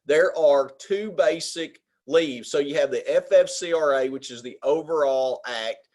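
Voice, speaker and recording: A man with a Southern Arkansas accent, an attorney giving a webinar presentation on employment law in a folksy way, pausing often on filler sounds. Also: a little high, a little mellow. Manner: folksy, many filler pauses.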